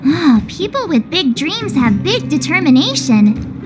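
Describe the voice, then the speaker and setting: A woman playing a perky, outspoken young adventurer in a video game, quick to react and a little wry. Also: high voice, big pitch swings. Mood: upbeat. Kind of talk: animated game dialogue. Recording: loud music under the voice.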